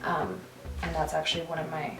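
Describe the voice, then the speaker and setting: A young Canadian woman with a lowish voice chatting on camera, animated and quick to laugh. She sits back from the mic in a roomy space, with faint music playing behind her. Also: recorded from a distance. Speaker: vlogger. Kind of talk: casual chat.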